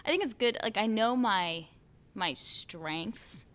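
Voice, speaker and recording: A young American woman, an actor and singer, chatting as a podcast guest with lots of fillers and animated quotes. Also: medium pitch, takes it slowly. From United States. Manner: chatty, frequent "like" and "you know" fillers, animated quoting of others. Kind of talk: casual conversational interview.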